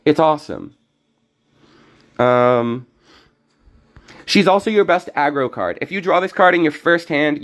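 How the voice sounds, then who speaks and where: A young man streaming a card game, talking casually and rambling with many restarts, his voice slightly hoarse. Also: on the high side. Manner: rambling, self-correcting. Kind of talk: casual gameplay commentary.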